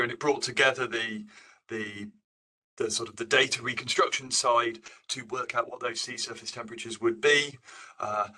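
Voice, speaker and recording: Sharp, bright-voiced male academic presenter. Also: mid-range voice, poor audio. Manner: halting, self-correcting, informal delivery. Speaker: professor giving a research seminar. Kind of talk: academic slide presentation, explanatory.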